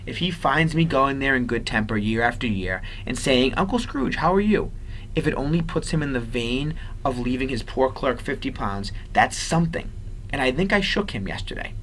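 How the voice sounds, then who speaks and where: A man voicing a cheerful, good-hearted young gentleman from a storybook, in a hearty, emphatic way. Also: mid-range voice, lively intonation, moderate pace, slight background noise, lightly acted, poor audio. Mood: good-natured, earnest.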